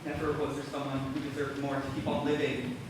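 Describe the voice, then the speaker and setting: A man's voice: mid-range voice, completely flat delivery, fairly quick, lightly acted. Grieving teenage brother played by a young man, echoey hall. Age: teens to young adult. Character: grieving, guilt-ridden teenage older brother. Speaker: student actor. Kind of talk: stage play acting. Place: school stage performance. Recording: echoey hall, distant mic, noisy.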